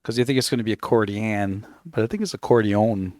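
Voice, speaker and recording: A man's voice: lowish voice, fairly quick, a little mellow, no room sound, studio quality. A man from Canada, whose first language is Italian, chatting casually on a game stream while he plays. He rambles and corrects himself often. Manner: rambling, self-correcting storyteller. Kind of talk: casual chat while gaming.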